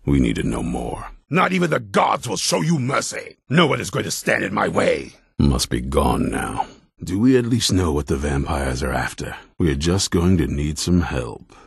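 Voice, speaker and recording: An older man playing a grim, ruthless vampire hunter commander in a video game, stern and blunt, with a very deep, gravelly, raspy voice. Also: very animated, moderate pace, no echo, studio quality, full character voice. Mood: furious battle shouting. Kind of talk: video game character dialogue.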